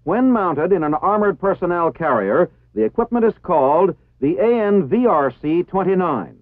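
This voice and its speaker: A man's voice: sits high, expressive, normal speed, dry recording. Tinny vintage American male film narrator. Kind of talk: formal scripted instructional narration. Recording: tinny phone-like 1960s film soundtrack.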